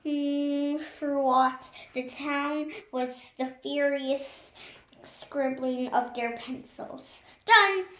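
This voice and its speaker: A woman reading a storybook aloud, haltingly, stumbling over words and correcting herself. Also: sits high, little pitch movement. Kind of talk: storybook read-aloud with hesitations and acted dialogue. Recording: echoey room.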